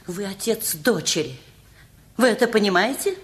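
A woman's voice: mid-range voice, expressive, normal speed, lightly acted. A middle-aged actress in a radio play, playing a bossy, proper, anxious mother with an insistent, emphatic way of talking. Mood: urgent, persuasive.

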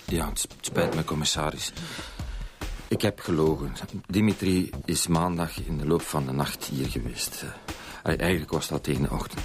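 A worried Flemish father in a radio serial, middle-aged, very low-voiced. He stammers apologetically and repeats himself, with music clearly underneath. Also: normal speed. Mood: nervous, apologetic. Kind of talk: radio drama acting.

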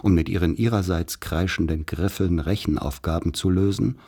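A man as the reflective narrator of a radio play, recalling rural schooldays in measured, articulate literary prose. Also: low voice, creaky, warm tone, husky, heavily acted.

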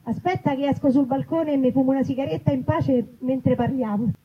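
A woman's voice: sits high, fairly quick, natural voice. Grumbling, foul-mouthed Roman woman, as a female reader plays her. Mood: weary. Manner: grumbling, coarse colloquial. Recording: dull, noisy live event recording.

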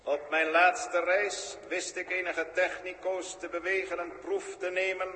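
A cold, clipped ship-mind captain in an old radio play, his voice coming from a loudspeaker. It sits a little high and rings with heavy echo and a long reverb tail. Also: flat delivery, unhurried. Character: cold male ship-mind captain, brain fused into a spaceship. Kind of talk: 1960s radio drama acting.

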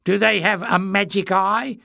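Man doing a sneering young goblin, high and shrill. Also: steady tone, unhurried, creaky, pushed and bright, no room sound, studio quality, full character voice. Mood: furious. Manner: sneering, furious, raised voice.

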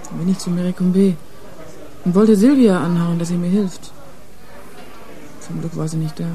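A woman's voice: fairly low pitch, steady tone, normal speed, mellow, natural voice, low-quality recording. An actress playing a streetwise nineteen-year-old club girl turned informant in a radio crime drama, slangy and blunt. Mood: agitated, defensive.

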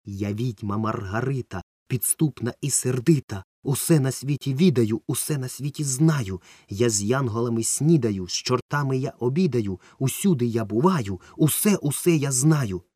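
A man's voice: on the high side, sing-song, normal speed, darkish tone, no room sound, studio quality, voice pushed up. A man voicing a sly, cackling old witch woman who teases and sings her lines. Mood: singsong. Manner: singsong, teasing.

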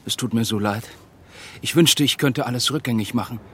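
A man's voice: medium pitch, brisk, slight background noise, natural voice. An actor playing a nervous, remorseful man in an audio drama, speaking hesitantly. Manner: hesitant, stammering. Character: nervous, stammering, remorseful man in deep trouble.

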